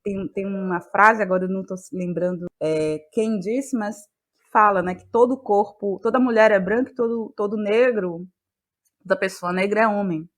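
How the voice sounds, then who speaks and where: A woman talking as an interview guest, explaining her work in a thoughtful, expressive conversational way. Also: mid-range voice, normal speed. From Brazil, Bahia. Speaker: guest, playwright and actress.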